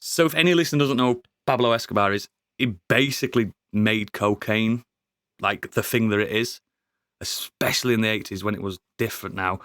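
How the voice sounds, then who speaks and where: Sweary, excitable man. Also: on the low side, a little fast, clean tone, no room sound, studio quality. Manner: sweary, excitable, laughs often. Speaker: podcast co-host. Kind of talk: casual review chat.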